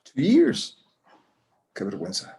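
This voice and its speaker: A man's voice: a little high, wildly swooping pitch, unhurried, vocal fry, mellow, full character voice. A man playing a proud, courteous old Mexican-American rancher in a screenplay table read, speaking formally. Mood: dismayed. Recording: echoey room.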